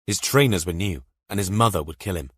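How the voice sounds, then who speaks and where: Low-pitched male audiobook narrator. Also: fairly quick, a bit bright, breathy edge, dry recording, studio quality. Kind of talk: scripted thriller narration.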